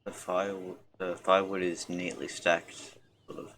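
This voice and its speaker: A man streaming a game, reading its text aloud in a low-key, halting way. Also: flat delivery, background noise. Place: livestream with game music behind.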